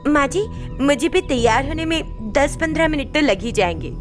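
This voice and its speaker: A woman's voice: high voice, lively intonation, moderate pace, music in the background, voice pushed up. A woman voicing a vain young daughter-in-law in a storybook tale, sweetly coaxing, deferential and evasive. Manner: deferential, placating. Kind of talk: acted dialogue in a Hindi moral story.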